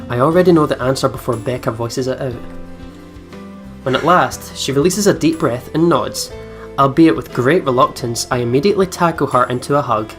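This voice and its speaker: Very deep-voiced woman playing a visual novel. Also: brisk. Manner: chatty asides, reacting to the story. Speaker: let's play video host. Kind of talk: casual game commentary and reading narration aloud. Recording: music behind the voice. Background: visual novel game music.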